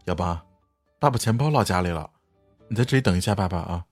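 A man acting a husband and stepfather in a Chinese audio drama, who can be cutting or gentle. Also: deep voice, lively intonation, fast, no echo, faint music behind. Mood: doting, gentle. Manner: bitter and cutting to wife, gentle with child. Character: betrayed husband and doting stepfather, adult man.

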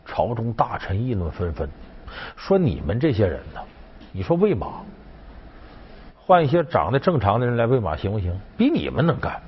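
A man's voice: fairly low pitch, huge pitch swings, normal speed, slightly rough, highs cut off, full character voice. A man voicing a wily, aggrieved court official, coaxing and emphatic, in a historical story. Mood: scheming. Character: wily male Han court official, bluffing, aggrieved schemer.

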